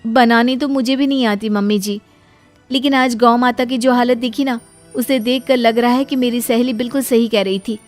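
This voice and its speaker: A tender-hearted young wife in a cartoon moral tale, acted by a woman. Her voice is high and expressive, easily flustered. Music plays quietly underneath. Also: normal speed, lightly acted. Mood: earnest. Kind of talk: cartoon moral story dialogue.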